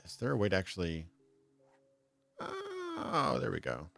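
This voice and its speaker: Deep voiced guy in his 30s streaming a game, laid back chatter, little laughs, faint music behind. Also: huge pitch swings, a little slow, gravelly, fairly bright tone. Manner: chatty, laughs often, reads chat aloud. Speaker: livestream gamer. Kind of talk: casual let's-play chat.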